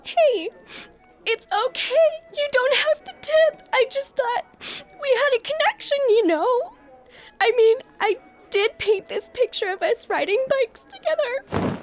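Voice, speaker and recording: A woman's voice: very high voice, expressive, measured pace, music in the background. A woman playing a perky, over-friendly, passive-aggressive coffee shop barista in an audio comedy sketch. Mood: wounded. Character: relentlessly chirpy, guilt-tripping coffee shop barista.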